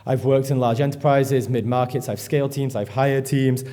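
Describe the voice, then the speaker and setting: A man gives a live conference talk. His voice is mellow and somewhat dark. He rambles, pokes fun at himself and swears casually as he goes. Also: medium pitch, steady tone, moderate pace. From England. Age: 30s. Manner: swears freely, rambling and self-deprecating. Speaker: conference speaker. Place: conference stage before an audience.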